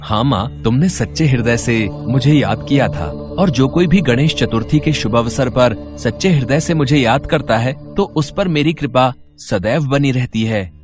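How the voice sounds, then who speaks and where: A man voicing a cheerful, kindly young child god in a devotional animated story. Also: medium pitch, moderate pace, hardly any pauses, over music, close to an everyday voice. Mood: benevolent.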